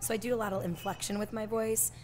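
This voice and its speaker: A woman with a scratchy, smoky voice talks easily in a bar, laughing now and then. Her pitch sits in a middle range. Faint bar noise hums behind her. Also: level tone, fast talker, darkish tone. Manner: laughs often, easygoing. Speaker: guest, exercise instructor. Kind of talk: casual bar conversation. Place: bar and restaurant.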